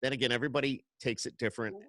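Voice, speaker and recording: A man's voice: mid-range voice, fairly even intonation, very fast, a bit bright, dry recording. Chummy male radio host, dry close sound. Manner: jokey, chummy, stumbles over questions. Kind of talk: casual podcast interview.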